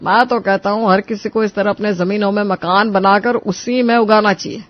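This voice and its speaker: Man acting a proud, high-voiced old father-in-law. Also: fairly even intonation, moderate pace, dull audio, close to an everyday voice. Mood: boastful. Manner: boastful, folksy. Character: proud, stubborn, gullible elderly father-in-law. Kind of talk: Hindi moral story cartoon acting.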